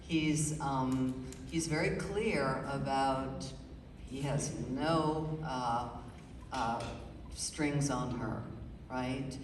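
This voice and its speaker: A middle-aged woman, an author, speaking thoughtfully and with many pauses in a live radio interview. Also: rumbling low, a little hiss or hum. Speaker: author guest. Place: stage before a live audience. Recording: roomy hall, far from the mic, audible ambience.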